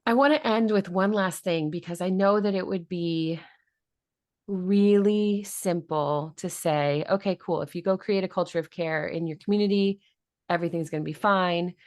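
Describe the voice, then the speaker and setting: A woman with a notably low voice hosts a casual interview podcast. She thinks aloud and builds long, reflective questions for her guest. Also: moderate pace, a little mellow. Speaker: podcast host.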